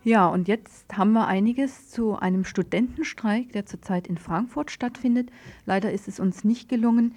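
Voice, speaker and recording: A woman's voice: medium pitch, warm tone. A woman radio presenter reading a scripted political news report.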